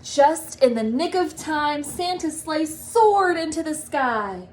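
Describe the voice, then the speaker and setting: A woman reading a children's storybook aloud in an expressive, emphatic manner. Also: high-pitched, takes it slowly, a little hiss or hum. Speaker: storybook reader, teacher.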